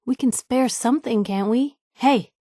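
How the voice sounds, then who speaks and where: A woman's voice: fairly high pitch, measured pace, warm tone, no echo, studio quality. An actress playing a wry, plucky young woman reporter, an outspoken and loyal video game companion with a sardonic, conversational delivery. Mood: pleading, urging.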